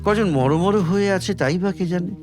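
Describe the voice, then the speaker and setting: A man reading literary fiction as dramatic audiobook narration, measured, with deliberate pauses between phrases. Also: high-pitched, music in the background. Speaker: story reader.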